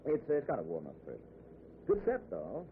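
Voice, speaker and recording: An actor playing a friendly, talkative driver in a breezy, nosy manner, in 1940s radio drama style. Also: male voice, fairly low pitch, huge pitch swings, normal speed. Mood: easygoing. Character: chatty, friendly middle-class man driving at night. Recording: tinny, noisy old radio recording.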